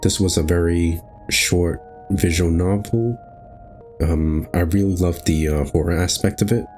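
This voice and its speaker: A man's voice: low voice, steady tone, unhurried, a little mellow, soft background music. A man hosting a gaming video, reading visual novel narration aloud and adding casual commentary.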